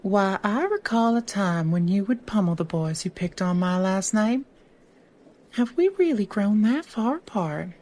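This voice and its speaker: Actress as slow, silky, sly woman lawyer. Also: mid-range voice, lively intonation, a little mellow, close to an everyday voice. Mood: smooth persuasion. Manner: unhurried, teasing, theatrical. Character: sly, silky woman lawyer, old friend, teasing and shrewd. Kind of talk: audio drama dialogue.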